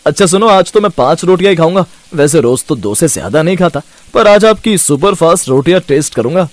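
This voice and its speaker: A man's voice: fairly high pitch, lively intonation, fairly quick, no echo, natural voice. A man voicing a cheerful young brother-in-law who teases playfully in a cartoon story. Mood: cheerful teasing.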